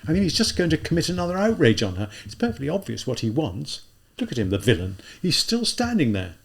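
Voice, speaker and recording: A man's voice: fairly high pitch, big pitch swings, fast, creaky, pitched above the performer's own voice. A man voicing an agitated, feverish young man who speaks tensely and urgently.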